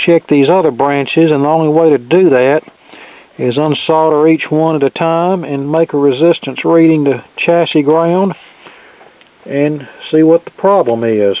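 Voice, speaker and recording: A man giving a hands-on repair tutorial as an instructor, explaining each step in a methodical, measured way. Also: on the high side, dry recording. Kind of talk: hands-on repair tutorial, talking through steps. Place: indoor cluttered electronics workbench. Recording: thin phone-like band-limited sound.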